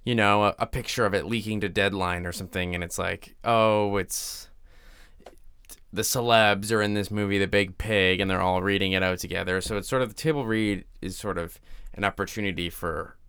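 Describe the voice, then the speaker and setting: A man's voice: fairly low pitch, steady tone, normal speed, fairly bright tone, clear, resonant tone, dry recording. A man co-hosting a podcast in casual, conversational chat. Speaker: podcast co-host.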